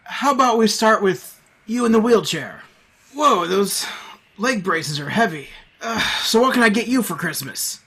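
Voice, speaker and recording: An actor playing a flustered but kindly man stuck as Santa Claus, speaking haltingly in an audio drama. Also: high voice, animated, bright, forceful tone, slight background noise, full character voice. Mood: awkward, kindly. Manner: hesitant, halting, stammering.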